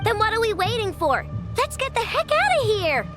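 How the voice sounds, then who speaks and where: Tiny game sprite, extremely high squeaky child voice, excitable, bouncy intonation, loud music behind. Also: female voice, moderate pace. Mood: alarmed, urgent. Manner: excitable, exclamatory. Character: tiny childlike floating companion, excitable and blunt. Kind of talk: English video game voice acting.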